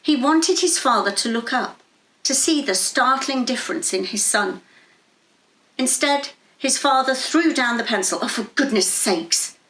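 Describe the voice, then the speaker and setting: A woman reading literary fiction aloud in a measured, dramatic storytelling manner. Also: sits high, lively intonation, a bit bright, echoey room, rough recording. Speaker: story reader. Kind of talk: scripted literary narration.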